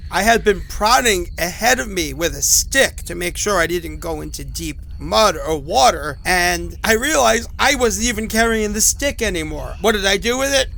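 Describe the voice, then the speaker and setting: A man's voice: sits high, vocal fry, pushed and bright, slight background noise, full character voice. An actor playing a sick, rattled, apologetic man who rambles hesitantly through phone messages in a horror audio drama. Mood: unsettled, bewildered.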